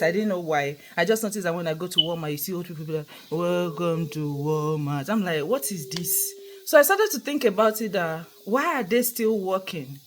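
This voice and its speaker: A young Nigerian woman with a Nigerian accent, vlogging in a casual, earnest storytelling monologue and repeating points for emphasis. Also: lowish voice.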